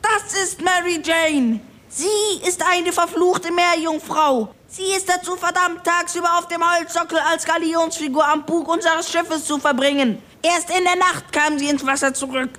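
Woman playing a high, bright, grumpy little ship's kobold. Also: fairly even intonation, moderate pace. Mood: exasperated. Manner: scolding, exasperated, emphatic. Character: grumpy, furious little male ship's kobold, scolding know-it-all. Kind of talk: acted German audio story.

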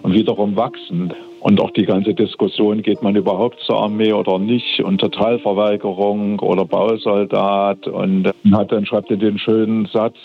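A man's voice: fairly low pitch, vocal fry. A man in his fifties from eastern Germany, recalling things thoughtfully in a telephone interview. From Dresden, eastern Germany. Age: 50s. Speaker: interview guest, church academy director. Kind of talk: spontaneous, reflective recollection in an interview. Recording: dull, band-limited telephone line.

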